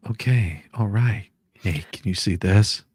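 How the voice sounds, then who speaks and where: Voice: sits low, unhurried, darkish tone, slightly rough. A show host who reads out a deposition transcript dramatically, mixing it with casual banter and easily breaking into giggles. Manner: giggly, easily cracks up between read lines.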